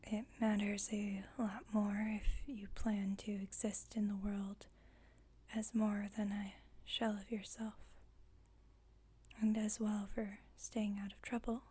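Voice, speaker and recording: A woman playing a composed, formal vampire coven leader in an ASMR roleplay, whispering softly in a measured, instructive, gently wry way. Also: mid-range voice, little pitch movement. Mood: wryly instructive. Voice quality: breathy, whispered, soft.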